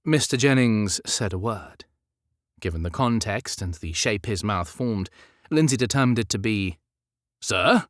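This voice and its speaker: Male audiobook narrator for period romance; lowish pitch, measured expressive delivery, very dry studio sound. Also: no echo. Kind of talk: scripted literary narration of a historical romance.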